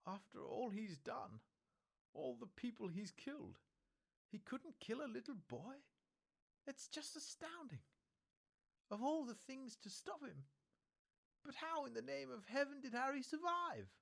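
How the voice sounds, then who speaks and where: A man voicing an anxious, indignant older female witch professor in a storybook, with a shrill, strained voice and emphatic delivery. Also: big pitch swings, measured pace, creaky, pauses often, fairly bright tone, full character voice. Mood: astounded. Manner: emphatic, agitated.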